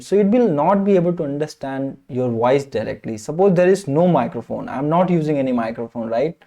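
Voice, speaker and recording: A lecturer explaining step by step in a lively, swooping voice that sits on the mellow, darker side. Nearly every phrase ends in a rising "right?" or "okay?", with repeated words and "uh" pauses between ideas. It sounds like a classroom lesson being recorded. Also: mid-range voice.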